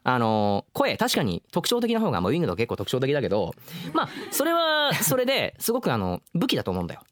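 A man's voice: a little high, huge pitch swings, fast. A man hosting a radio show, giving earnest, frank advice in casual, slangy chat. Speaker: radio show host, voice actor.

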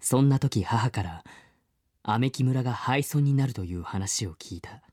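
An actor playing an earnest, wistful man who narrates his boyhood memories in a measured, reflective way, as in a radio drama. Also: medium pitch, expressive, darkish tone, no room sound, clean studio sound. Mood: wistful, subdued. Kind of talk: scripted first-person radio drama narration.

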